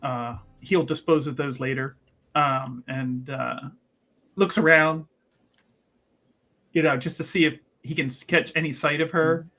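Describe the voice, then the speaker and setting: A man playing a tabletop roleplaying game, casually describing his character's actions in an informal, halting way. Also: on the high side, fairly even intonation, pushed and bright. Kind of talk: casual improvised character narration.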